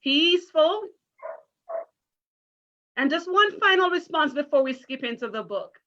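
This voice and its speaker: Muffled, high-voiced woman teacher. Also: lively intonation, unhurried, fairly bright tone. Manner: encouraging, careful teacherly address with questions. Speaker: primary school teacher reading a story. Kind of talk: interactive children's read-aloud. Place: online virtual story time call. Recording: muffled video call audio.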